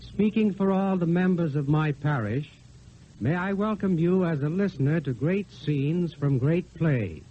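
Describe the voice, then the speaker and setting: A clergyman delivers a scripted radio welcome in a formal, deliberate way. His voice sits a little high, and the old recording is dull with faint hiss. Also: animated. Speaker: clergyman, parish reverend.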